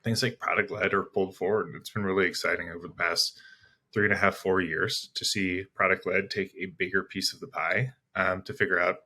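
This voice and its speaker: A man with a deep voice that still sounds bright and firm. He talks like a sales executive being interviewed, explaining things conversationally. His delivery is reflective, adding points one after another. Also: steady tone, brisk, slightly rough.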